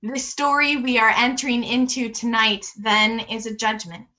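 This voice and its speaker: A woman's voice: fairly high pitch, level tone, normal speed, sharp tone, low-quality recording. A woman leading worship with a scripted, solemn and reflective liturgical address over a video call. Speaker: worship leader.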